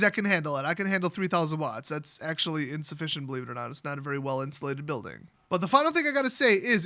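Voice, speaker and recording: High-pitched, bright-voiced American man. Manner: sarcastic, animated, jokey exaggeration. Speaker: YouTuber telling a personal story. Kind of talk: casual rambling storytelling.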